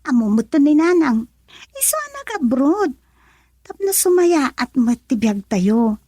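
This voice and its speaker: A feisty Ilocano younger sister in a radio drama. Her voice is high and swings widely in pitch as she questions and nags, recorded dry. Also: sing-song, measured pace, warm tone, no room sound. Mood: scolding. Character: feisty, scolding younger sister of a grown brother.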